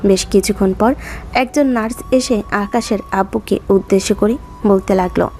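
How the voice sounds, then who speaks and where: A woman's voice: mid-range voice, moderate pace, a little mellow. A woman reading scripted dramatic story narration as the narrator. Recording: music under the voice.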